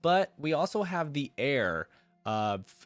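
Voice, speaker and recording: A man reading a fantasy story aloud in a measured, dramatic way, with casual reaction asides as a fan video creator. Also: fairly high pitch, big pitch swings, dry recording.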